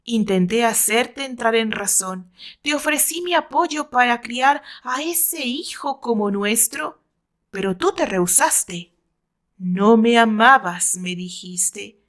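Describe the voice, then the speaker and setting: A woman voicing an obsessed, bitter gentleman in a dramatic, anguished audiobook confession. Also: a little high, lively intonation, slow.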